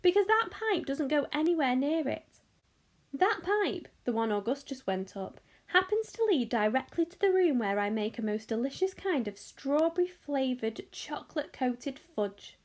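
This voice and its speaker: Lady doing an eccentric chocolate maker guy, high and bouncy, totally unbothered, storybook style. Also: big pitch swings, moderate pace. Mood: emphatic, incredulous. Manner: emphatic, blithely dismissive. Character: eccentric excitable gentleman chocolate maker, gleefully unworried.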